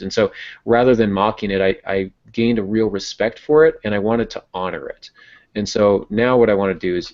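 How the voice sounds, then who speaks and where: A man talking casually on a podcast as a host and novelist explaining his work. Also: lowish voice. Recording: dull lo-fi voice recording.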